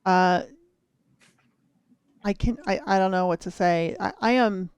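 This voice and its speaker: A woman's voice: mid-range voice, steady tone, a little slow, a little mellow, clear, resonant tone. A woman hosting a livestream match, upbeat, chatty and playful. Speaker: host.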